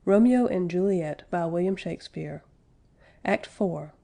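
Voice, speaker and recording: Female narrator, scripted stage directions, slightly low pitch, gravelly fry. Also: unhurried, dark tone.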